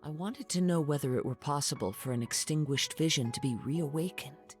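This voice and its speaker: A man playing a calm, reflective young wandering samurai in a video game, speaking in measured, formal, poetic phrases. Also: on the high side, natural voice. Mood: calm, thoughtful. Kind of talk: video game story dialogue and narration. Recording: music behind the voice.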